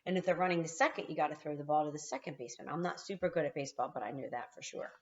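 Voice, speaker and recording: Lowish-voiced woman reading to kindergartners. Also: rapid-fire, darkish tone, lo-fi sound. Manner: explanatory, addressing young children. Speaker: kindergarten teacher. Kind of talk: storybook read-aloud with asides to children.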